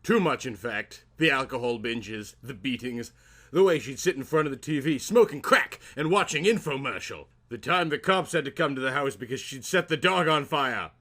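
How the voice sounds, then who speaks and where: A man narrates in a florid, theatrical audiobook style. His mid-pitched voice is bright and forceful, with a mock-serious delivery. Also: animated, normal speed. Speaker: story narrator. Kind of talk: dramatic comic audiobook narration.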